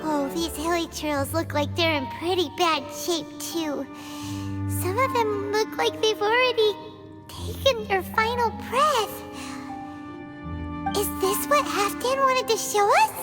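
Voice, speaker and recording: A woman's voice: very high-pitched, slow pace, over music. A woman voicing a tiny, chatty fairy-like girl companion in a video game, who talks about herself in the third person. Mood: somber.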